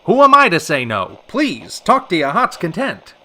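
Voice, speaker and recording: Vintage radio drama lead: flamboyant male show host played by an actor, lively swooping pitch, sharp bright announcer polish. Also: normal speed, full character voice. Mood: obliging. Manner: theatrical, polished announcer delivery. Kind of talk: 1940s radio acting.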